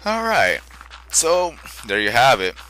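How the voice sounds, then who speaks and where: A tired young man rambling through casual gameplay commentary, often restarting his sentences and correcting himself, with a soft, quiet voice. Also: mid-range voice, huge pitch swings, slow, a little hiss or hum, rough recording. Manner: rambling, self-correcting chatter. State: tired from little sleep. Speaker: gaming channel host. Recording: game music faintly underneath. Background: faint game music.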